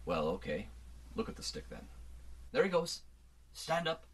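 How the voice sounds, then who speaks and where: A man's voice: medium pitch, sing-song, normal speed, slightly rough. A man playing a sensible, exasperated Earthman space adventurer in a radio drama, wry and down-to-earth. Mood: coaxing.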